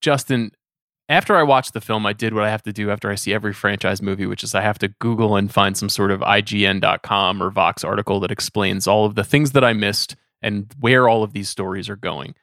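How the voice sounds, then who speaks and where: A man's voice: on the low side, fairly even intonation, brisk, a bit bright, no room sound, clean studio sound. A man hosting a casual podcast discussion, speaking conversationally. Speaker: podcast host.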